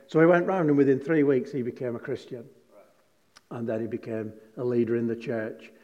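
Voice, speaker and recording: A pastor preaching to a congregation, moving between relaxed, joking anecdotes and stressed scripture. His voice sits in the middle of the male range. Long reverb from a large hall hangs behind every phrase. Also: medium pitch, lo-fi sound. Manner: chatty, jokey storytelling with emphatic scripture reading. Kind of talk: sermon.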